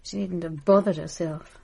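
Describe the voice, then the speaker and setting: Husky, low-voiced bitter wife. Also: female voice, dark tone, full character voice. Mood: sardonic, evasive. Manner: caustic, haughty, sardonic. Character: bitter, scornful estranged wife, newly widowed. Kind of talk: radio drama acting.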